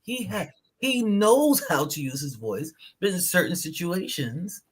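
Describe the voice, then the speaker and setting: A woman co-hosting a spiritual live show, chatting casually and telling stories in a lively, animated way. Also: fairly low pitch, unhurried, husky. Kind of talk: casual live-stream chat.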